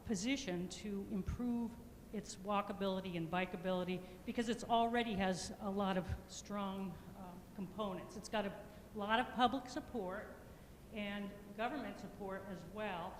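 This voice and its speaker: Woman planning consultant, loud and halting with fillers, in an echoey public meeting room. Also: mid-range voice. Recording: echoey meeting hall with faint noise.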